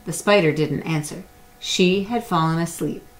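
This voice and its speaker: A middle-aged woman reading a picture book aloud to young children in a simple, deliberate storytelling way. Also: fairly low pitch, low-quality recording. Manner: simple, deliberate storytelling with acted animal sounds. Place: small indoor room at home or classroom.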